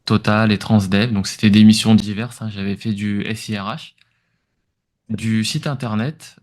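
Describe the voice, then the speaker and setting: A man with a fairly low voice and an accent from France speaks as an interview guest. He explains things informally, feeling his way through sentences with some hesitation. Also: steady tone, normal speed. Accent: French from France. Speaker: podcast guest, entrepreneur. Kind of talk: conversational interview answers.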